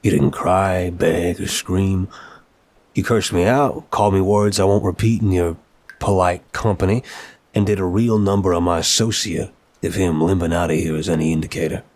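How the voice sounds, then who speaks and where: Man playing a deep-voiced, wry mafia boss. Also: fairly even intonation, moderate pace, darkish tone, no room sound. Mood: amused, charmed. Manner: wry, conversational, gangster slang. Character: apologetic, wry, charming male mafia boss. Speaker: audio roleplay performer. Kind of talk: scripted ASMR roleplay acting.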